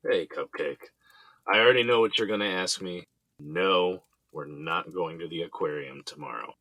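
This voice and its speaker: A man's voice: low voice, normal speed, sharp tone, dry recording, heavily acted, rough recording. A man playing an easygoing, affectionate husband and father, playful and coaxing, in a naturalistic audio drama.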